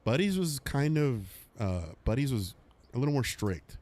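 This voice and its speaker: A man's voice: mid-range voice, moderate pace. A man hosting a casual livestream podcast, chatting with friends and reading out viewer messages. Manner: animated, reads out chat messages. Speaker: podcast host.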